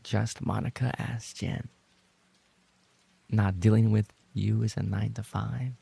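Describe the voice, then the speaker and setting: Male streamer, lowish and somewhat dark voice, loose casual banter with his audience. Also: level tone, measured pace, vocal fry, husky. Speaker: live streamer and voice actor. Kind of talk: casual livestream chat with viewers.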